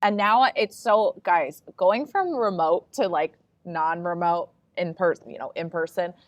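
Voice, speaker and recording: A woman's voice: on the low side, a little slow, dry recording, dull audio. A woman co-hosting a podcast in casual, conversational talk. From New York. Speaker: podcast co-host. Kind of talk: casual chat.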